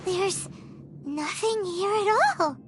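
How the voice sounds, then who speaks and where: A woman voicing a tiny, childlike fairy companion in a video game, timid, wide-eyed and squeaky. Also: lively intonation, slow, background noise, heavily acted. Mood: curious, puzzled.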